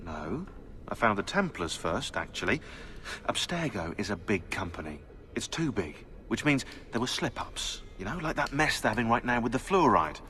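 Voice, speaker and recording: An actor playing a sardonic, eccentric British conspiracy researcher turned assassin in a video game, chatty, opinionated and sarcastic. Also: male voice, fairly low pitch, very animated, normal speed, bright, forceful tone, a little hiss or hum. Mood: earnest, reflective. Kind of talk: game cutscene dialogue.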